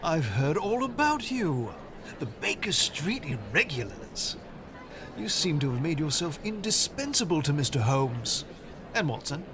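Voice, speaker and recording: An actor playing an eager, friendly teacher in an audio drama, who talks in an excitable way. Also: male voice, on the high side, a little slow, noisy background. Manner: excitable, lively. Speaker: teacher who likes opera.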